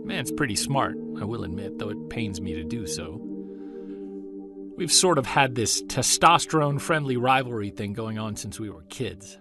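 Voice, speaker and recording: An actor playing a wry, self-deprecating amateur investigator in an audio drama, speaking in a conversational, emphatic way. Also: male voice, lowish voice, lively intonation, normal speed, music in the background. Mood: wry, reflective. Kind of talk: audio drama narration and casual dialogue.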